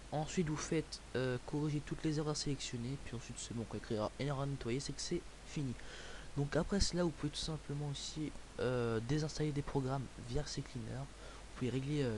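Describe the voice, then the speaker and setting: A young man from France talking through a computer tutorial in a casual, rambling way, full of fillers and restarts. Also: mid-range voice. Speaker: tutorial maker. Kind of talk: casual screen-recorded tutorial. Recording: hissy home recording.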